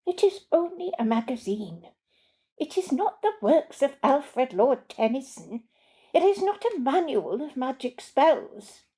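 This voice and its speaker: A woman voicing a young housemaid in a story reading, humble and earnest yet quietly stubborn. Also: high voice, very animated, measured pace, voice pushed up, low-quality recording. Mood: defiant.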